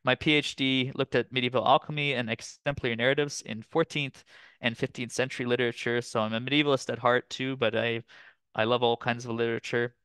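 A man from Canada in his thirties giving a relaxed, chatty academic presentation over a video call, with frequent fillers. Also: mid-range voice, brisk, smooth, dry recording. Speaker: guest lecturer. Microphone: computer mic on video call.

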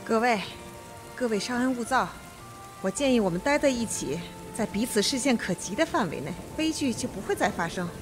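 Authoritative judge female actor for a radio whodunit: slightly high voice, methodical, firm, music underneath. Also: lively intonation, lightly acted. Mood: calm, commanding. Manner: measured, firm. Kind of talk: Mandarin radio mystery drama acting.

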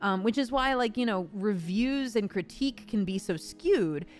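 A woman's voice: medium pitch, expressive, moderate pace, soft background music. A woman talking casually as a voice actor and host, acting out imagined quotes as she explains things. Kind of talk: casual conversational discussion.

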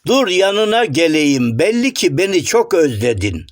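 A man voicing a polite, chatty, eager gentleman in a children's cartoon, courteous and coaxing. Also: fairly high pitch, big pitch swings, measured pace, no room sound, clean studio sound. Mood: fawning, surprised. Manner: courteous, coaxing, sing-song explaining. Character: polite, chatty, eager, well-mannered male shadow-puppet gentleman.

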